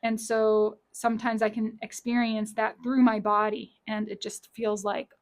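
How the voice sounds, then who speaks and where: A woman with a raspy, scratchy voice giving a casual tarot reading from her small home office, chatty and repeating key lines. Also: fairly high pitch, flat delivery, normal speed. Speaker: tarot reader.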